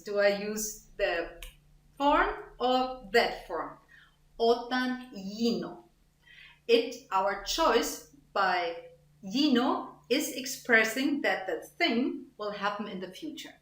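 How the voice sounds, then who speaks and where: A woman teaching a language lesson, explaining grammar slowly and deliberately, repeating example phrases and sounding them out. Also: medium pitch, a bit bright. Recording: roomy echo.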